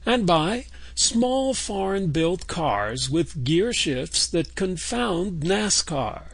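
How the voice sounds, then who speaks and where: A quirky sponsor announcer with a high, swooping, gravelly voice. He stretches the words out slowly, and the recording is dry and close. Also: big pitch swings, no echo, heavily acted. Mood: wry.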